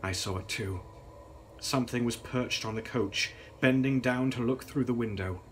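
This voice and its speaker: A man's voice: medium pitch, moderate pace. Theatrical young man reading over background noise. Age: young adult. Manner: theatrical, grave. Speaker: author reading his novel. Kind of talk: dramatic gothic audiobook-style reading.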